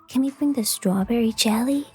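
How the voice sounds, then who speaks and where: A woman's voice: a little high, a little slow, fairly bright tone, slightly rough, full character voice. A woman voicing an eager, curious young schoolgirl in an audio drama. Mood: eager, excited. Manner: eager, childlike. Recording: music under the voice. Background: music.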